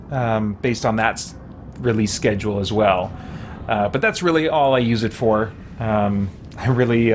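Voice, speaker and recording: A man hosting a game livestream, chatting casually and hesitantly, thinking aloud as he goes. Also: medium pitch. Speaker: game streamer and host. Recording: clearly noisy background.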